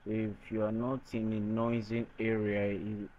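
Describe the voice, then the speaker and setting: A man presenting an unscripted software tutorial in a halting, hesitant way. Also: lowish voice, little pitch movement, slight background noise. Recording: dull lo-fi microphone sound.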